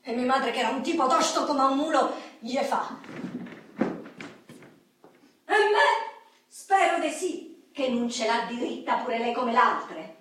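Feisty high-voiced Roman woman, echoey hall. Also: lively intonation, unhurried, long pauses, a bit bright. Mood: animated, wry. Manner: vivid, colloquial storytelling, acting out quoted people. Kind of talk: theatrical monologue in a radio play. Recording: echoey hall, distant mic, clicks.

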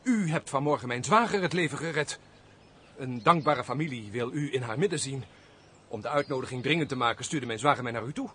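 An actor playing an earnest army major and loyal old comrade, speaking formally and emotively in a radio drama. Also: male voice, mid-range voice, expressive, a little fast, sharp tone, slightly rough. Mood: polite, urgent.

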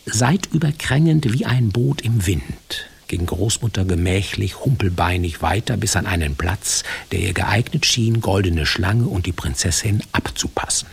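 Guy narrating a fairy tale, low creaky voice, really expressive, folksy. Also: unhurried, hardly any pauses, a little hiss or hum. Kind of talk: scripted fairy-tale narration for radio drama.

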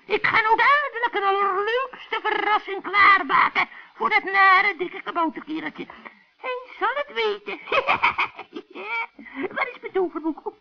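A man's voice: highs cut off, full character voice. A man voicing a gleeful, scheming old witch who cackles and gloats to herself in a children's radio story. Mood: gloating. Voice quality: shrill, creaky, cackling. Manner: gloating, drawn-out self-talk.

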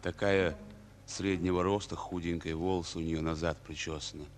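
An actor playing a stoic, terse Soviet infantry captain in a radio play, with a restrained delivery. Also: male voice, deep voice, fairly even intonation, normal speed, a little hiss or hum, lightly acted. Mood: restrained tenderness. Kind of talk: Russian radio play acting.

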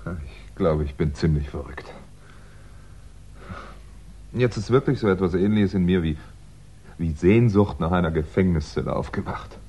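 Very deep-voiced weary fugitive man. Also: unhurried, long pauses, mellow. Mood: weary, muttering. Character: fugitive crime writer, dry, weary, polite man on the run. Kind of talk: 1960s German radio play acting and first-person narration. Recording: dull, noisy 1960s radio recording.

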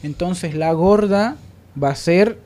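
A man's voice: high-pitched, takes it slowly, a little mellow. A man hosting a panel discussion, speaking reflectively with emphatic repetition as he searches for words. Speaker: radio programme host.

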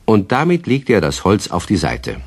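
Radio play narrator, low voice, expressive intonation, calm storybook delivery. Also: male voice, normal speed, husky, exaggerated voice. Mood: calm storytelling.

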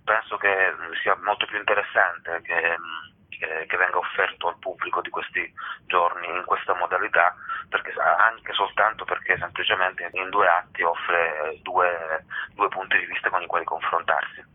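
Deep-voiced man on a phone line. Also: steady tone, moderate pace. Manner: hesitant, searching for words. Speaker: interview guest. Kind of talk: unscripted radio interview. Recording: narrow-band telephone line.

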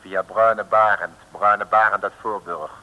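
An actor playing a surly, stubborn small-time crook in an old radio serial, gruff and insolent. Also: male voice, lowish voice, steady tone, measured pace, pushed and bright, muffled recording. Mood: grudging confession. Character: surly, defiant small-time male crook under interrogation. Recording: noisy old radio drama recording.

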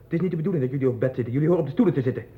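A man's voice: medium pitch, racing, close to an everyday voice. An actor playing an agitated, childlike man in an institution in a 1960s radio drama, insistent and abrupt. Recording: muffled, phone-like 1960s radio recording.

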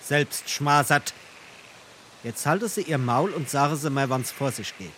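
Man playing an excitable, bright-voiced Hessian suitor. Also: medium pitch, measured pace, slight background noise, lightly acted. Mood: defiant. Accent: Darmstadt Hessian. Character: awkward, bashful, hot-headed young male suitor. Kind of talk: theatrical dialect comedy acting.

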